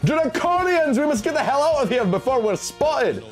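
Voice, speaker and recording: A man's voice: very high-pitched, sing-song, normal speed, soft background music, voice pushed up. A man voicing an anxious, bossy fantasy companion who gives urgent warnings in a storybook reading. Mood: panicked. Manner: urgent, exclamatory.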